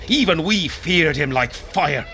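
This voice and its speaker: An actor playing a proud, rough army veteran in a fantasy video game, speaking in a blunt, rustic soldierly manner. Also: male voice, a little high, animated, unhurried, bright, forceful tone, a little hiss or hum. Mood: reflective. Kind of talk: video game dialogue acting.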